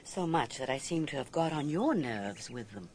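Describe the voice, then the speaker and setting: An actress playing an elegant, brittle archaeologist's wife in a radio drama, gracious and poised but uneasy. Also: female voice, a bass voice, animated, normal speed, background noise. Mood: cool.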